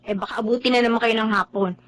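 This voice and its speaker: An actress playing a vain, sharp-tongued young woman who scolds and dismisses people around her. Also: fairly high pitch, steady tone, fast, lightly acted. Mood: dismissive. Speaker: lead character in a drama.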